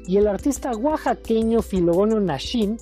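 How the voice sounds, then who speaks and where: A woman speaks as a radio co-host in a conversational style. Her voice is mellow and mid-pitched, with soft music behind it. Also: expressive, moderate pace.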